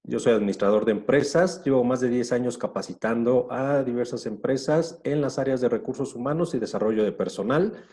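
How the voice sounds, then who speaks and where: A man introducing himself as a business trainer on a video call, measured and slightly halting. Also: mid-range voice, mellow. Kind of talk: self-introduction.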